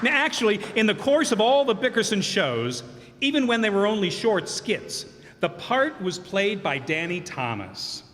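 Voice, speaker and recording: A man's voice: high-pitched, very animated, moderate pace, a bit bright, some chatter behind. A man hosting a community theater curtain call, rambling with fillers and self-corrections in a live hall. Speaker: host and cast member of a community radio theater group. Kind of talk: curtain-call speech thanking cast and crew. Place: live performance hall before an audience. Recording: reverberant hall, faint background noise.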